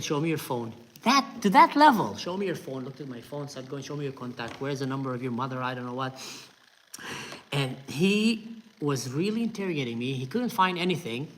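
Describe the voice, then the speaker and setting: Israeli guy telling a wild story from his life, kinda high voice, acts out the quotes. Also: poor audio. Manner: animated, acts out quoted voices, tense retelling. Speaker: storyteller host. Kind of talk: casual spoken storytelling.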